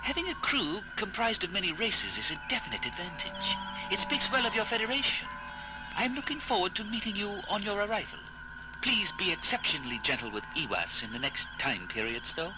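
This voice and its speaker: An actor playing a dignified, courteous alien ambassador with formal speech in a science fiction audio drama. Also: male voice, high-pitched, lively intonation, brisk, poor audio. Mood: courteous, pleased. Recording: very loud music behind the voice. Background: loud music.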